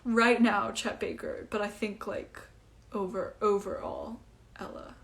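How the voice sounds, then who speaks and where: A woman's voice: medium pitch, measured pace, gravelly. Breezy young woman. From Iceland. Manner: chatty and breezy, with many fillers. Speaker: singer hosting a livestream. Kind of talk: casual livestream chat answering comments. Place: at home, indoors.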